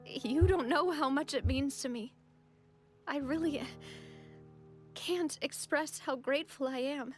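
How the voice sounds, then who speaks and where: A woman's voice: high voice, measured pace, pauses often, soft background music. An actress playing an earnest, compassionate young woman leader shaken by disaster, speaking solemnly and with composure in a video game. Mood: grateful.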